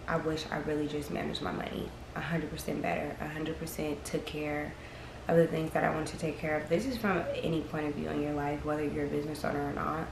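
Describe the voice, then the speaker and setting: A young woman in her early twenties talks to camera in a rambling, frank, chatty way. Her voice sits low and stays fairly flat. The room sounds echoey, with a clear hiss of noise behind her. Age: early 20s. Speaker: vlogger. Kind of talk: casual chat to camera.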